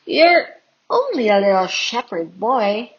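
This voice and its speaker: A woman's voice: on the high side, very animated, takes it slowly, dull audio, heavily acted. A woman voicing a doubtful, dismissive king in a children's storybook, with an incredulous, questioning manner.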